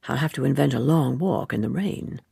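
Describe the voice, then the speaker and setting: A woman's voice: rumbling low, fairly quick, vocal fry, dark tone, slightly rough, no room sound, studio quality, pitched below the performer's own voice, full character voice. A woman reading an audiobook, voicing a secretive male lover who is wry, terse and guarded. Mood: preoccupied, regretful. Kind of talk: audiobook character dialogue.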